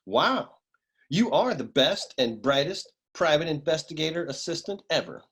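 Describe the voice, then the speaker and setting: Man acting as grumbling private eye, highish male voice, swooping delivery, cynical wisecracks, radio noir comedy. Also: big pitch swings, normal speed, a bit bright, slightly rough, heavily acted. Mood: wry. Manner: world-weary, grumbling, crude wisecracks.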